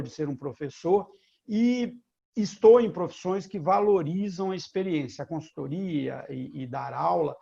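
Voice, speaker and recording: A man's voice: on the high side, vocal fry, warm tone, husky. A man in his fifties from Brazil, a consultant and lecturer giving an earnest online talk. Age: 50s. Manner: earnest, rises in emphasis on key points. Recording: dull lo-fi online audio.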